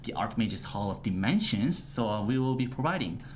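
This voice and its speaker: A man hosting a casual game livestream, talking in halting, filler-heavy phrases. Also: on the low side. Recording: steady background noise with typing.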